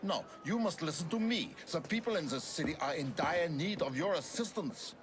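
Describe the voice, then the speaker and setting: An actor playing an earnest political activist, formal and insistent, in a game drama. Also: male voice, a little high, expressive, normal speed, noisy background, close to an everyday voice. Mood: earnest, urgent. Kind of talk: dramatic game cutscene acting.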